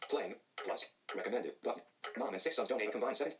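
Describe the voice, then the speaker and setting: A male screen reader voice that reads screen items out in flat, synthetic announcements. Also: fairly low pitch, quick pace, noisy background.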